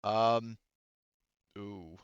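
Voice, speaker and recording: A man's voice: fairly low pitch, drawn-out words, clear, resonant tone, no room sound, highs cut off. A man acting as game master in an online tabletop roleplaying session, talking casually with some salty language.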